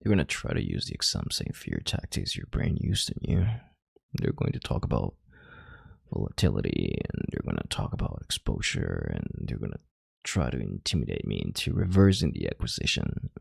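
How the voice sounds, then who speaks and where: A man playing a calm, domineering CEO in an intimate audio roleplay, with a deep, gravelly, breathy voice and a measured, condescending yet tender manner. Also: dry recording, studio quality, full character voice. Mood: commanding, reassuring. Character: calm, domineering male CEO soothing a panicked partner.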